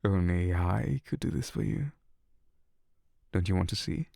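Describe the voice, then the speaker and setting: Slow, low, gravelly male incubus, played by a man, teasing and predatory, dry close ASMR sound. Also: lively intonation, mellow, no room sound, full character voice. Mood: seductive. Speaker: ASMR roleplay voice actor. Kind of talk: ASMR roleplay.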